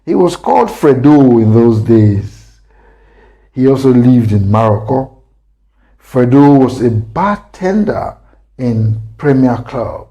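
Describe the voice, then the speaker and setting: A man from Africa narrating a scripted dramatic story as a storyteller, deliberate and pausing mid-sentence. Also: medium pitch, warm tone, low-quality recording.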